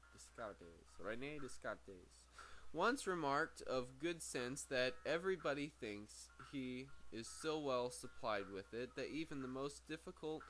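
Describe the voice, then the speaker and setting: An amateur male reader works through a book aloud in a middle-pitched voice. He trips on words and doubles back to repeat phrases. Clear ambient noise sits behind him on a homemade recording. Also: a bit bright, poor audio.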